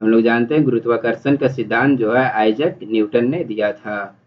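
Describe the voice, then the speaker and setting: A man's voice: medium pitch, brisk. A man teaching exam prep, reading multiple-choice questions and explaining the answers in an instructional, repetitive way. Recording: dull, muffled lo-fi audio.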